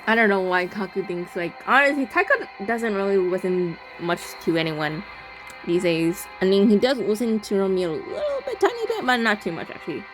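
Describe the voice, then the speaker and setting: A woman's voice: mid-range voice, very animated, a little fast, poor audio. A woman hosting a game playthrough, reading narration aloud and adding casual commentary. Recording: voice over loud music. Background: game music.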